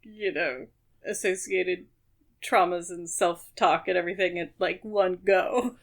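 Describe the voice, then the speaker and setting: A woman's voice: fairly low pitch, a little slow. A woman co-hosting a podcast, chatting casually about a book. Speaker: podcast co-host.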